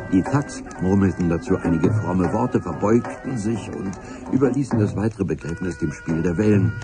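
An actor playing a grandiloquent chronicler, narrating a radio adventure in a solemn, florid, measured manner. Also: male voice, on the low side.

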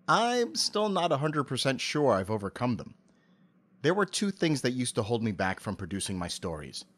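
Guy being interviewed about his creative hobby, medium pitch, careful articulate delivery. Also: sing-song, measured pace, long pauses, a bit bright, breathy edge. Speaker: interview guest, amateur voice actor and animator. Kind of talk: prepared interview answers.

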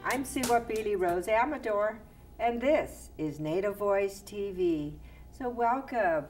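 A woman's voice: medium pitch, expressive, unhurried, darkish tone, soft background music. A woman hosting a studio interview show, welcoming a guest and giving friendly, encouraging prompts into a lapel mic. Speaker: talk show host. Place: TV studio set, indoors.

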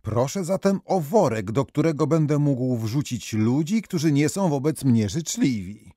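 A man's voice: mid-range voice, animated, measured pace, dark tone, no room sound, studio quality. A man voicing a modest, polite and stubborn young peasant boy in a folktale. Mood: modest, earnest.

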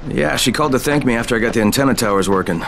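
An actor playing a weary, determined action hero in a video game cutscene, terse and earnest. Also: male voice, mid-range voice, very fast, close to an everyday voice. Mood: calmer. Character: weary, determined male undercover operative hero. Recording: clear background noise, outdoor urban ambience.

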